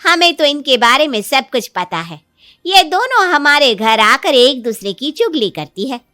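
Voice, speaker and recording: Nosy story-tale neighbour woman, voiced by an actress: taunting, high, very animated. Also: measured pace, dry recording, clean studio sound, faint music behind, exaggerated voice. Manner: taunting, blunt.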